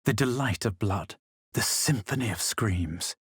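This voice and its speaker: An actor playing a self-assured, superior young male adventurer in a video game, speaking in a measured, aloof, dramatic way. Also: lowish voice, lively intonation, husky, no room sound, studio quality, full character voice. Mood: brooding. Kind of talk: video game character lines and storytelling narration.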